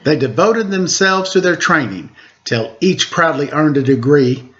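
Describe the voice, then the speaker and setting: Animated man reading a children's story. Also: a little high, unhurried, a little hiss or hum. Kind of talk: expressive rhyming storybook narration. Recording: slightly dull, lo-fi sound.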